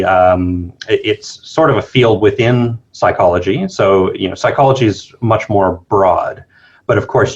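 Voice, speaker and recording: A man's voice: deep voice, muffled recording. A man from Canada, a scientist being interviewed remotely, answering thoughtfully with many fillers and restarts. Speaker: guest, circadian biologist. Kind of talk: casual interview talk.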